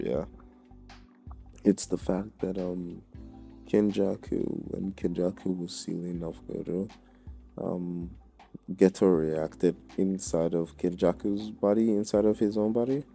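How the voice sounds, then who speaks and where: A man's voice: fairly low pitch, gravelly, warm tone. A man giving a casual, sweary opinion rant as a video creator, slangy and exasperated in his delivery. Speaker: anime commentary video creator.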